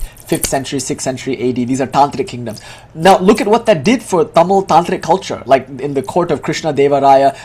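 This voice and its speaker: Male teacher from Malaysia, quick eager talker, slightly husky voice. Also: a little high, a little mellow. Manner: fast, eager explaining with frequent "like". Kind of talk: lecturing a live group.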